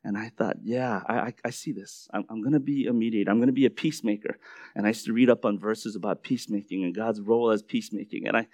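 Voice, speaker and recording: Korean American male preacher, low voice, casual testimony style, dry sound. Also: fast talker, darkish tone, no room sound. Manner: conversational, repeats words and restarts, uh fillers. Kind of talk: sermon testimony.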